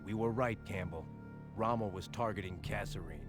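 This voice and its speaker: An actor playing a self-assured army major who speaks tersely and confidently in a war game cutscene. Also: male voice, on the low side, moderate pace, lightly acted. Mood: confident, grave. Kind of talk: video game cutscene dialogue. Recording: music behind the voice.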